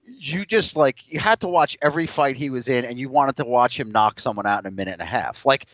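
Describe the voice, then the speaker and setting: Man, late 30s, podcast guest. Blunt and combative, lively swings in pitch, dull dry sound. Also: a little fast, dry recording. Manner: blunt, combative, talks over the other speaker. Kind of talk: heated debate.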